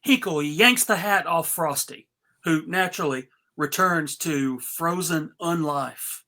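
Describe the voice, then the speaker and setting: Unhurried woman narrating a script. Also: low-pitched, sharp tone. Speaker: narrator reading stage directions. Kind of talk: live comedic script read, scripted narration.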